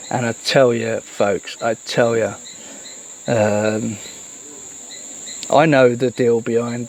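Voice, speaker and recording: A person giving a casual, reflective monologue, speaking earnestly and haltingly with repeated words. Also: mid-range voice, a little mellow. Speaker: host of a solo talk. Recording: noisy, with chirping insects behind.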